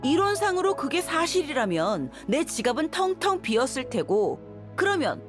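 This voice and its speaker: A woman's voice: high-pitched, sing-song, moderate pace, soft background music. An actress voicing a confident, seasoned young mercenary swordswoman in a video game, frank and self-assured. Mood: reasoning.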